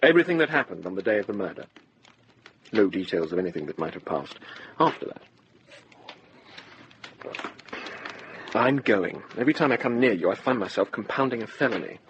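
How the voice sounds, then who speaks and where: An actor playing a brisk, wry lawman, dry, precise and knowing, in a radio drama. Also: on the low side, big pitch swings, a little hiss or hum, poor audio. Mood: brisk, pointed. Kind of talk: British radio drama acting.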